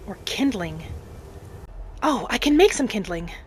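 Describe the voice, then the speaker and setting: A woman playing a gentle, earnest female wood-elf adventurer, musing aloud as she narrates a roleplay game in character. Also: medium pitch, very animated, unhurried, a little hiss or hum. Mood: thoughtful.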